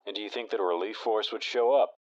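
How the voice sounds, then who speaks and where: A man playing an even-tempered radio talk show host with a measured, steady delivery in a fan audio drama. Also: fairly low pitch, muffled recording, natural voice.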